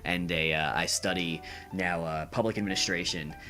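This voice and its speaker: A young man answering casually as a podcast guest, earnest and halting, repeating words. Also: deep voice, steady tone, music in the background. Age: young adult.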